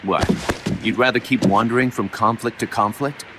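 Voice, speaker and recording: Casting: man as pragmatic second-in-command of a mercenary outfit, game drama, medium pitch, earnest salesman-like persuasion. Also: steady tone, moderate pace, background noise, natural voice. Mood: eager, persuasive. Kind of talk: video game cutscene voice acting.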